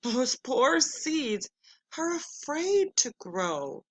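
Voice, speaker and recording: A woman's voice: high-pitched, big pitch swings, very slow, voice pushed up, full character voice. A woman voicing a helpful, sensible male frog in a children's storybook, giving advice emphatically. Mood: scolding, concerned. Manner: emphatic, advising. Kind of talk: children's storybook read-aloud.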